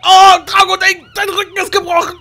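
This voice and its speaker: A young man bantering playfully and excitably while playing a video game, dropping in English phrases. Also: extremely high pitch, fairly quick. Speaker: let's player. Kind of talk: casual gaming banter during co-op play. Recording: noisy game audio with police sirens. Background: game sounds, sirens.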